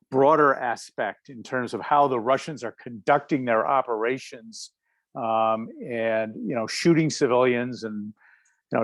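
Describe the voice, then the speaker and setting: A man's voice: medium pitch, dry recording. Hesitant man on a dry video call. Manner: hesitant, halting with many fillers and restarts. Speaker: panelist. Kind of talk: casual panel discussion.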